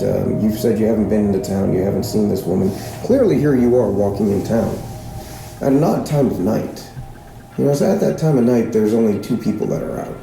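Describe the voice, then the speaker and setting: A man playing a stern small-town lawman in a fiction podcast, questioning deliberately and suspiciously, with pointed pauses and a gravelly voice. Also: on the low side. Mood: probing. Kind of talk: fiction podcast drama acting. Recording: roomy echo with music underneath.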